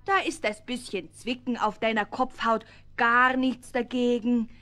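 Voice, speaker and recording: A woman's voice: fairly high pitch, steady tone, a little slow, bright, forceful tone. A woman voicing a bossy, motherly pig in a children's audio play, fussy and chiding, with little oinks. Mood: chiding, brisk. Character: bossy, motherly female pig dressing a bride.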